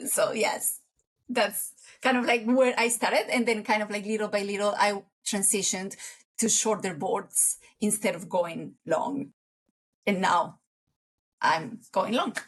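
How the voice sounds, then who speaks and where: A woman, chatting as an interview guest in an unhurried way, often searching for words. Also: mid-range voice, fairly even intonation. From Colombia. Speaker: guest surfer. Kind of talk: casual interview chat.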